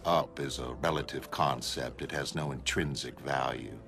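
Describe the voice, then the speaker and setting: Man voicing a deep, detached godlike superhuman. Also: creaky. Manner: flat, measured, detached. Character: godlike, detached male superhuman scientist, quietly wounded. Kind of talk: motion comic character acting. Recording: music behind the voice.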